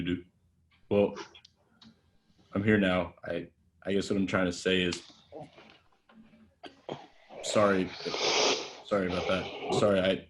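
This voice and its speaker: A man's voice: deep voice, slight background noise. A man reading the part of a drunk, reckless young actor who leaves a rambling, self-pitying voicemail at a table read.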